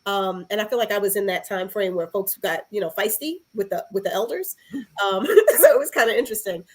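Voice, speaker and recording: A woman's voice: medium pitch, expressive, brisk, a little mellow. A woman from Harlem in New York City, talking casually and reflectively as a podcast guest.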